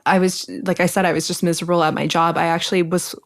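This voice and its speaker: A young woman from Ohio, a podcast guest, chatting in a relaxed way with dry humor. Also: fairly low pitch, fast. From Ohio, USA. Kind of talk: casual interview chat.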